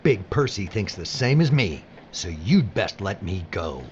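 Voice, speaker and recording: A man's voice: low voice, sing-song, measured pace, darkish tone, muffled recording, a little hiss or hum. A man playing a weary, conscientious younger prisoner on a grim work detail, earnest and exasperated, in an audio drama. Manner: earnest, exasperated, plain-spoken. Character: weary, conscientious younger male prisoner working at processing bodies.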